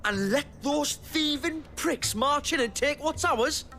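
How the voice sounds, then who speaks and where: Blustering, high-voiced merchant chief. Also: male voice, takes it slowly, sharp tone, soft background music, exaggerated voice. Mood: defiant, scheming. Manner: blustering, scornful, coarse-tongued. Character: gruff, bossy male chief of scavenging merchants. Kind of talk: video game voice acting.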